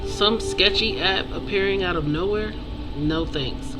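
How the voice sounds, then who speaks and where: An author presenting their own book in a nervous, halting voice with small stumbles and self-corrections. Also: medium pitch, rough recording. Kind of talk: read-aloud fiction, then casual promotion. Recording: music playing behind voice. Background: music.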